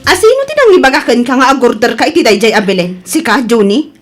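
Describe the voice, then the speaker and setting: A woman plays a stubborn village wife from a radio serial. Her voice is very high and highly animated, with an Ilocano Filipino accent and a huffy, insistent delivery that brooks no argument. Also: fast talker, over music. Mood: indignant. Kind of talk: Ilocano radio drama acting.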